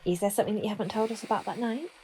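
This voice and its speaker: A woman's voice: mid-range voice, very fast, a little mellow, slight background noise, lightly acted. A woman playing a formal, probing, authoritative detective inspector in an audio drama. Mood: stern, probing. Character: stern, probing female police detective inspector leading interrogation.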